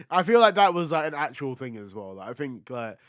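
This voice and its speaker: A man's voice: a little high, very animated, moderate pace, fairly bright tone, no echo, clean studio sound. A man co-hosting a podcast, chatting casually about a film in a rambling, informal way. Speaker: podcast co-host discussing a film. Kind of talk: casual chat.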